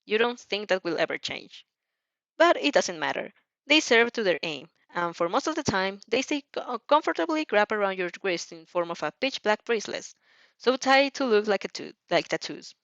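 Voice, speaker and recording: Lively woman reading aloud, dull lo-fi sound. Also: mid-range voice, dry recording. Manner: giggly, stumbles over words and rereads. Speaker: fan fiction reader and reactor. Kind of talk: expressive read-aloud of fan fiction with casual reactions.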